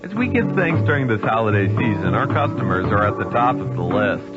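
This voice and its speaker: A man's voice: lowish voice, sing-song, fairly quick. A man reading a scripted holiday thank-you advertisement as a radio announcer. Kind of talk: scripted bank holiday message. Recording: dull radio recording, loud music.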